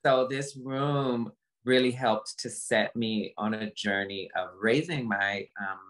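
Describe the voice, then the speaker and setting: A low-pitched woman speaks as a guest in an interview over a video call. She talks reflectively about personal experience, leaning on repetition and emphasis to land each point. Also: slow pace.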